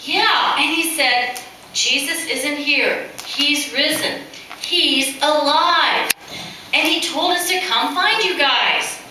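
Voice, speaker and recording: A woman playing a female follower of Jesus in an amateur puppet show, telling astonishing news deliberately. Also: high voice, bright, forceful tone, heavily acted. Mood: suspenseful. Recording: very echoey, distant mic, faint noise.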